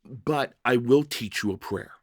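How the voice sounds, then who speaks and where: Man acting gentle doomed saint, serene, kindly, slow measured delivery, storybook drama, dry sound. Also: medium pitch, no room sound, natural voice. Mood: calm, unafraid.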